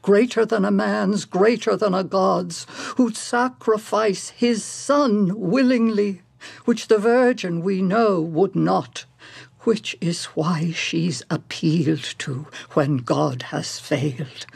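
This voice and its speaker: A creaky, husky, insistent tempter. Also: female voice, mid-range voice, darkish tone, dry recording, heavily acted. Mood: hushed, intent. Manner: insistent, measured, rhetorical questioning. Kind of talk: radio drama acting.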